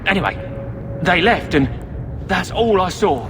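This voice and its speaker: A man's voice: high voice, big pitch swings, measured pace, noisy background. An actor playing a cagey street boy in a video game, grudging and hesitant, with plain words. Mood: hesitant recounting.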